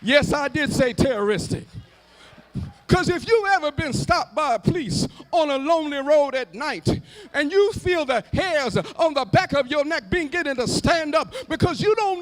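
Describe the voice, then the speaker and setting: An American man preaching an impassioned sermon at a live event, emphatic and rhythmic, building on repeated phrases and moving between hushed lines and shouted, half-sung cries. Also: very high-pitched. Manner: emphatic, rhythmic repetition for rhetorical effect. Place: live public event before a gathering.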